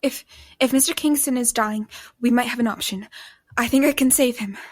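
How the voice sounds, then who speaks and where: An actress playing an earnest young woman of the 1870s in an audio drama, gentle and careful with formal wording. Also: on the high side, normal speed, natural voice. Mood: earnest, resolved. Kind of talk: scripted audio drama with first-person narration.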